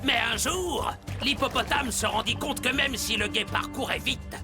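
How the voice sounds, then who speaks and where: A man voicing a wise animal telling fables in a cartoon, dramatic and deliberate, over music. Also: very high-pitched. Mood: storytelling. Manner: slow, dramatic storytelling. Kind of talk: animated series dubbing, fable narration. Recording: music behind.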